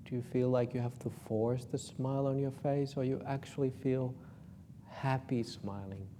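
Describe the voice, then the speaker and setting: Finnish-accented monk guiding meditation, mellow voice. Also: male voice, medium pitch, steady tone, a little hiss or hum. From Finland. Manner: informal and gently joking, with soft, slow guiding phrases. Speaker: Buddhist monk leading a meditation retreat. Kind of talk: guided meditation talk.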